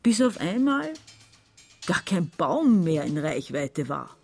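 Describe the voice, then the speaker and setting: An actress playing a resentful, calculating daughter in her forties, giving a measured, confessional radio-drama monologue. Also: lowish voice, sing-song, creaky, a little mellow, faint music behind, heavily acted. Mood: bleak. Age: middle-aged. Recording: ambient noise behind the voice.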